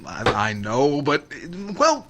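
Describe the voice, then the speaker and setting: A man's voice: medium pitch, huge pitch swings, slow pace, gravelly, background noise, heavily acted. A man playing a young suitor in 1940s radio drama style, sheepish and earnest, often hesitating and stammering. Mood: coaxing, sheepish.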